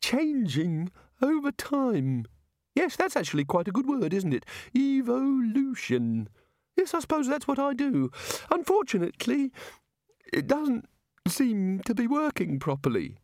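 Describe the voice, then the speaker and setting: A man doing a high, fretful, absent-minded god. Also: huge pitch swings, measured pace, a little mellow, dry recording, studio quality, exaggerated voice. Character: anxious absent-minded male god, fussy.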